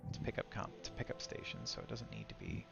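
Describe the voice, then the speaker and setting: A man's voice: fast, muffled recording, over music. A man chatting casually as he streams and comments on his gameplay. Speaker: game streamer.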